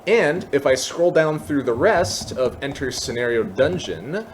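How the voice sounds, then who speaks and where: A man with a medium-pitched voice hosts a casual software tutorial. He explains step by step in an informal, lightly self-deprecating manner. A faint layer of background noise sits behind his voice. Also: expressive, unhurried. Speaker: tutorial host.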